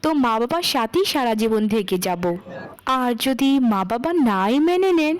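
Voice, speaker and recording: A woman voicing a humble, put-upon older sister in a children's cartoon, speaking in a gentle, pleading, apologetic way. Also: a little high, animated, normal speed, clear, resonant tone, lightly acted. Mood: resigned, sad. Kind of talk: children's moral cartoon dialogue.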